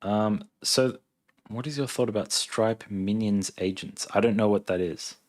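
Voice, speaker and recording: Low-voiced Australian man, laid-back livestreamer. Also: measured pace, a little mellow. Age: 30s. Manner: laid-back, thinking aloud. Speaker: live streamer, software engineer. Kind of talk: casual livestream chat, reading and answering viewer questions.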